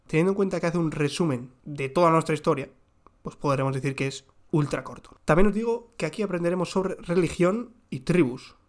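A young man with a slightly high voice talks through his favourite books at home. He jokes and swears casually, and a little room echo clings to his voice. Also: a little fast. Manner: joking, slangy, swears casually. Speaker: book reviewer. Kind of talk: casual book recommendations. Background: construction work next door.

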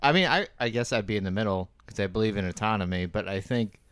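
Thoughtful low-voiced male podcast host. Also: quick pace, a bit bright, clean tone, no room sound. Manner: thoughtful, hedging. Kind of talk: casual podcast chat.

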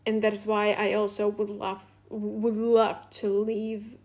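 A woman's voice: mid-range voice, monotone, slow pace, a little mellow. A young woman from Latvia whose first language is Russian, talking casually and unscripted as a podcast host, rambling with many fillers and restarts.

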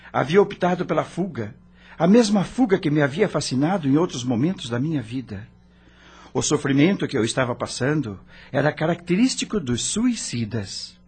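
An actor playing a dead rock star in a radio drama, tormented and regretful, with a solemn, theatrical Brazilian delivery. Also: male voice, a little high, slightly rough. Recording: music under the voice.

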